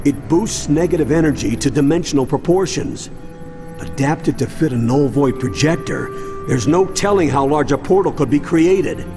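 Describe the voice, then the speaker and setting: A man's voice: fairly high pitch, lively intonation, normal speed, natural voice. An actor playing a seasoned older monster hunter in a video game cutscene, grave and resolute as he explains. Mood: grave, explanatory. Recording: game dialogue over music.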